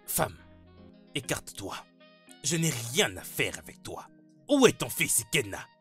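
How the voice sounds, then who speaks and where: A man's voice: medium pitch, huge pitch swings, unhurried, a bit bright, slightly rough, faint music behind, heavily acted. An actor playing a stern, menacing middle-aged father, domineering and confrontational, in a dubbed melodrama. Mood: furious, threatening.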